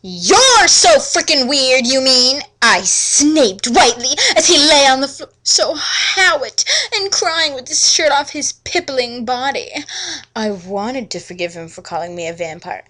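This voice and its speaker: High-pitched theatrical woman narrator. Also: unhurried, sharp tone, poor audio. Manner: theatrical, exaggerated emphasis. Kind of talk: mocking dramatic reading of fanfiction.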